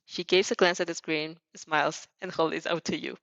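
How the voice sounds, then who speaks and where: A woman's voice: low-pitched, steady tone, moderate pace, creaky, a bit bright, no room sound. Woman narrating fan fiction, slightly muffled. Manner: giggly, often stumbling over words. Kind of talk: animated story reading with casual asides.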